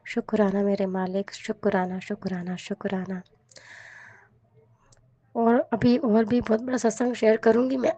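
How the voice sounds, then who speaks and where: A woman with a hoarse, cold-roughened voice, earnestly sharing a devotional testimony and repeating her thanks. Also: mid-range voice, level tone, unhurried, pauses often, warm tone, dry recording, highs cut off.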